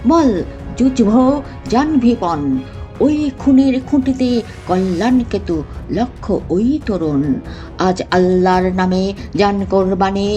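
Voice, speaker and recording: A woman reciting a rousing poem dramatically, in an emphatic, declamatory manner with measured phrasing. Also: mid-range voice, warm tone. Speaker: poetry reciter. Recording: music behind the voice.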